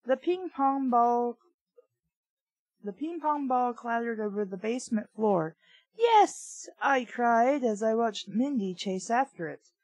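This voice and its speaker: A woman with a slightly high voice reads a children's book aloud at an unhurried pace. She is casual and conversational, often rambling into snarky asides. The recording is dry, with very little room sound. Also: expressive, a little mellow, no echo, rough recording. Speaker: host reading a children's book aloud with commentary.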